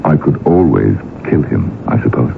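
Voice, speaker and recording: An actor playing a proud, lovelorn, jealous portrait painter in a radio drama. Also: male voice, very deep voice, lively intonation, normal speed, gravelly, phone-quality sound, exaggerated voice. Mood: bitter, indignant. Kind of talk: 1980s radio drama acting. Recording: noisy band-limited old radio broadcast recording.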